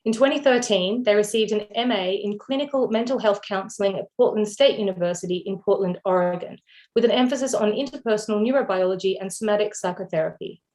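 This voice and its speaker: A woman from Australia hosting an online lecture, reading prepared welcome remarks and a land acknowledgement in a steady, formal manner. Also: medium pitch, fast talker, poor audio. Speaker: event host. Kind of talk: scripted event welcome and introduction. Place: online video event.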